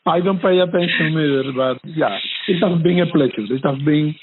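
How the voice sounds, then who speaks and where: A man's voice: on the high side, normal speed, noisy background. A man being interviewed who speaks formally and graciously, thanking often. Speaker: interview guest. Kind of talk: interview conversation. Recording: tinny phone-line call.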